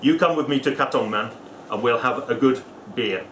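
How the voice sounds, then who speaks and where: Man doing a brash, pushy local showoff with a thick Singaporean accent, loud and wheedling, in an echoey room with background chatter. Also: mid-range voice, normal speed, slight background noise, lightly acted. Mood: coaxing. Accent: Singaporean, put on.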